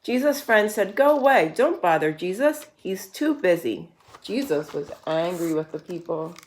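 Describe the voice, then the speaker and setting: A woman reading picture books aloud to a young child as a storyteller, pausing to explain things simply. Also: fairly low pitch, sing-song. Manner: simple explanations to a child between read passages.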